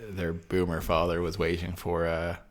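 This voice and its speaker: A young man co-hosting a podcast in casual chat, dry and teasing, with drawn-out fillers. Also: low-pitched, monotone. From Ireland. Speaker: podcast co-host.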